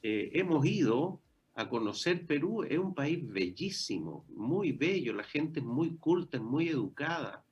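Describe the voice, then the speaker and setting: A Chilean man with a Chilean accent, talking casually on a radio discussion show and pausing often with fillers. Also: fairly high pitch, sing-song, breathy edge, dull audio. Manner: conversational, many hesitations and fillers.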